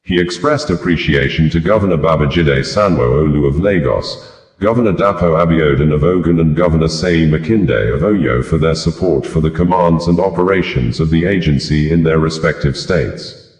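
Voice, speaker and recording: Very deep-voiced man reading news. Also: a little mellow, roomy sound. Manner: measured, formal delivery. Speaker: news reader. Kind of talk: reading news reports aloud.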